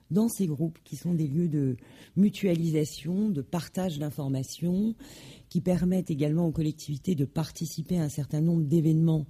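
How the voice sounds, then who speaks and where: Woman speaker at a conference: formal, halting, self-correcting; very dark, gravelly voice. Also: deep voice. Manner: measured, with frequent hesitations and self-corrections.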